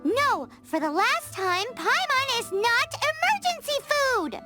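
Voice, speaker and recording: A woman's voice: very high-pitched, sing-song, slow pace, exaggerated voice. A woman voicing a tiny, chirpy female companion creature in a video game dub, who speaks of herself by her own name and gets indignant easily. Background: soft game music.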